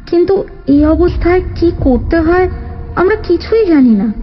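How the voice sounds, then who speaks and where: A woman's voice: very high-pitched, lively intonation, unhurried, highs cut off, soft background music. A woman acting an anxious, earnest married daughter pleading for help in an audio drama. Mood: worried, pressing. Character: anxious married daughter seeking her late father's will.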